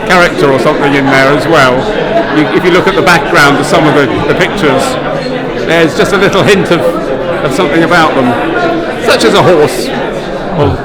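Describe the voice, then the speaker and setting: Man, slightly high voice, halting conversational interviewee, busy crowd loud behind him. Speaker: interviewee at an exhibition. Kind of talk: casual interview talk. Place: large busy indoor public space. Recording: loud crowded indoor hall.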